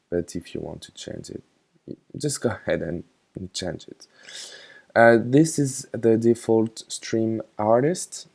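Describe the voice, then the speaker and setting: Male tutorial narrator, informal and hesitant, unhurried delivery, mellow tone. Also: mid-range voice, gravelly. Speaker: software tutorial instructor. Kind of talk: casual screen tutorial narration.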